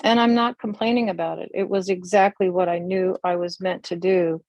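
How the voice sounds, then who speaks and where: An older woman and community elder, speaking reflectively on a video call from a room that looks onto a forest, with many pauses and restarts. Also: lowish voice, steady tone, darkish tone, no echo. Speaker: interview guest, community elder.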